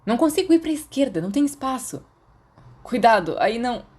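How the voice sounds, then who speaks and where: A Brazilian woman voicing a cheeky, teasing teenage boy wizard in a story, playful and quick to protest. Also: a little high, sing-song, a little mellow, husky, voice pushed up.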